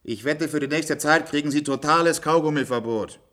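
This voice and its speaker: A man's voice: fairly high pitch, brisk, a bit bright, natural voice. An actor playing a chummy, nosy handyman in a German science-fiction radio drama, talking in a blunt, colloquial way. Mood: scolding. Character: chummy, nosy institute handyman, matter-of-fact working man.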